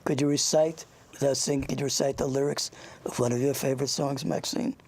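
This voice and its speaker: Gravelly older interviewer. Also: medium pitch, steady tone, a little mellow. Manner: rambling, halting, anecdotal. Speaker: interviewer, show host. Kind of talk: informal interview with a child guest.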